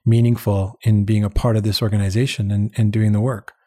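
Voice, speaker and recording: A man speaks in a low register with a soft, relaxed tone. He is an earnest interview guest who talks conversationally and reflectively, sometimes repeating a word to stress it. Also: a little fast, breathy edge. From born in Uganda, later lived in Canada. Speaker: interview guest, head of an international eye-care charity. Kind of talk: conversational personal storytelling.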